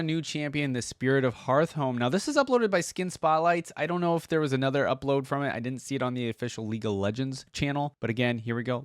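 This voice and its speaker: A man's voice: medium pitch, no echo. A young man giving casual reaction commentary as a video host, informal and easygoing, thinking aloud as he goes. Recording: dry, faint music behind.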